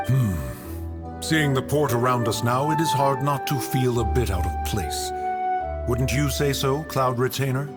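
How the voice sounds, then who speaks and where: A man voices an ancient, dignified immortal beast from a game. The delivery is very slow, archaic and courteous, with a wistful air. Music plays clearly behind. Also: mid-range voice, close to an everyday voice. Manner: formal, archaic, deliberate. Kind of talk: video game voice acting.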